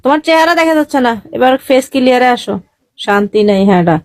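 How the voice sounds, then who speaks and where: Young woman chatting on a live call, talks really fast, bit high voice, kinda pushy. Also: lively intonation, darkish tone, dry recording, studio quality. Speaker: livestreamer. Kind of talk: casual video-call chat.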